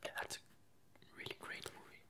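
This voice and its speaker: A woman whispering a casual ASMR chat in a breathy, halting way with long pauses. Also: sharp tone. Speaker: ASMR video creator.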